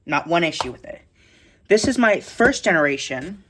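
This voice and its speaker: Woman, lowish pitch, informal vlogger, blunt casual tour, faint noise behind. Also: animated, normal speed. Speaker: vlogger showing a radio collection. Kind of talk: casual show-and-tell tour.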